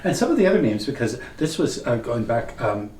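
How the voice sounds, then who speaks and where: A man's voice: medium pitch, very animated, fairly quick, a little mellow. A middle-aged man from northern Ontario whose first language is French, co-hosting a casual chat and explaining things thoughtfully. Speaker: co-host. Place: wood-panelled cabin room, seated at table. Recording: roomy, distant, faint hiss.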